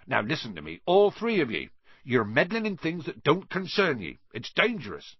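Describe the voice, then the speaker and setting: A man voicing a gruff, hot-tempered big groundskeeper. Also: on the high side, huge pitch swings, normal speed, no room sound, highs cut off, voice pushed up, full character voice. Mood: heated, insistent. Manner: rustic, colloquial speech. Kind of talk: audiobook character voice.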